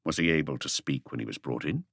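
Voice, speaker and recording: A man voicing a calm, authoritative police chief inspector in an audiobook, courteous, measured and terse. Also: a bass voice, dry recording, clean studio sound, pitched below the performer's own voice, full character voice. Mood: polite, restrained. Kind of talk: audiobook character voice.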